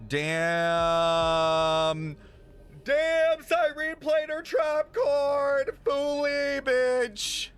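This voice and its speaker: A man's voice: very high-pitched, expressive, extremely slow, fairly bright tone. A man streaming a game reaction, talking casually with plenty of swearing and filler words. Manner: casual, profane, lots of filler "like".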